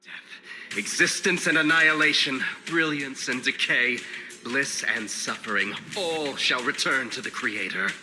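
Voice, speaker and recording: A man's voice: medium pitch, unhurried, over music, natural voice. An actor voicing a grandiose, menacing male cult orator in a video game, preaching with pompous, theatrical flourish. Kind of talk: dramatic video game villain acting.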